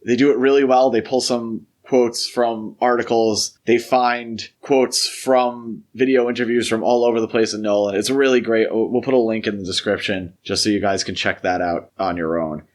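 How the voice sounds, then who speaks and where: A man's voice: lowish voice, steady tone, moderate pace, few pauses. A man co-hosting a podcast, talking casually and analytically through a film discussion in an explanatory way. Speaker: podcast co-host.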